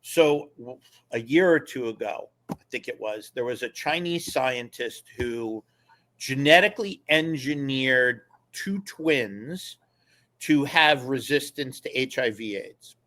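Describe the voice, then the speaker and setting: A man of middle pitch speaks as a guest on a podcast. He explains in an animated, emphatic way, pushing his points with quick asides. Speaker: guest, former government official and attorney. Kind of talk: casual interview conversation.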